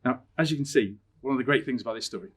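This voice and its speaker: A middle-aged man, a teacher, talking about books and reading a story aloud with expressive, dramatic emphasis. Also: medium pitch, rapid-fire, slightly rough. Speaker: science teacher reading a favourite book aloud. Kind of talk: personal introduction and storybook reading.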